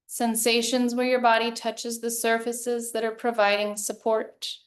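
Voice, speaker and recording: A woman guides a relaxation meditation in a fairly high voice. Her delivery is very slow and level, with little rise or fall. She gives calm, soothing instructions and leaves long pauses between phrases. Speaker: yoga nidra meditation teacher.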